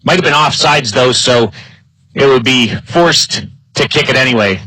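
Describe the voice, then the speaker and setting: A man announcing live football play-by-play in clipped phrases, his excitement building on big plays. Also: mid-range voice, normal speed, slightly rough, dry recording, rough recording. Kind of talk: live sports play-by-play commentary.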